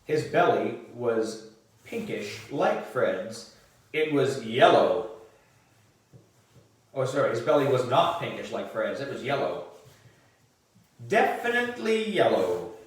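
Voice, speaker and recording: Man, children's book narration, slow deliberate delivery, very echoey room, distant mic. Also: medium pitch, sing-song. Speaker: volunteer reader for a book club.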